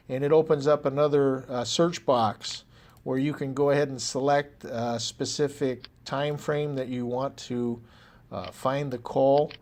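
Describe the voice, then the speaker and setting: Halting, conversational man giving a software walkthrough. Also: mid-range voice. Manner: halting, filler-heavy, conversational explaining. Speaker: software trainer, company support staffer. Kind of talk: informal screen-recorded tutorial walkthrough.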